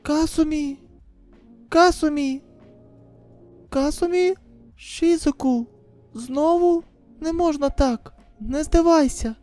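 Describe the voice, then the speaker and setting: A woman's voice: high-pitched, mellow, voice pushed up. A woman voicing an anxious, tender teenage girl in an emotional, pleading manner for a dramatic manga voice-over.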